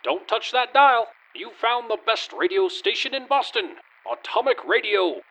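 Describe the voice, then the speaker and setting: Male old-time station announcer, extremely high pitch, showy delivery, light music bed. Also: animated, moderate pace, fairly bright tone, exaggerated voice, rough recording.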